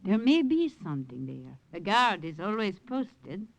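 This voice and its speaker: An actress playing a gentle, motherly French village widow in a radio drama, speaking with a French accent. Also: medium pitch, very animated, measured pace, creaky, lo-fi sound. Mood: thoughtful, helpful. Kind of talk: 1950s radio drama acting. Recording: hissy old radio recording.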